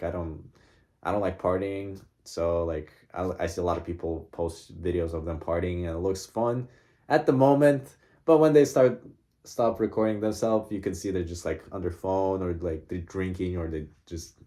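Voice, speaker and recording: A man's voice: sits low, mellow. A young man talking casually about his own life, rambling with lots of fillers and laughing at himself. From grew up in Russia from childhood. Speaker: vlogger. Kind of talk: casual personal monologue.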